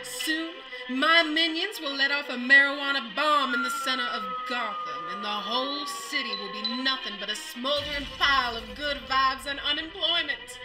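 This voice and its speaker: A woman's voice: sits high, moderate pace, hardly any pauses, close to an everyday voice, low-quality recording. A woman playing a sly, taunting female villain and gang leader in an old-time radio serial parody, with theatrical delivery. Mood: gloating. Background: music.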